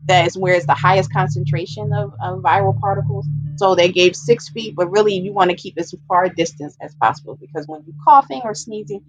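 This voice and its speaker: A woman's voice: medium pitch, expressive, fairly quick, few pauses, soft background music, low-quality recording. A woman giving an informal, explanatory public health presentation, stressing and repeating her key points as an educator.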